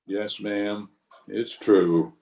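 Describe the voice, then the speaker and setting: A man acts as a steady western marshal in an old-time radio drama. His voice is on the low side, and he speaks in short, measured lines with a calm, plain manner. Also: creaky, full character voice. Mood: grave, steady. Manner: measured, laconic.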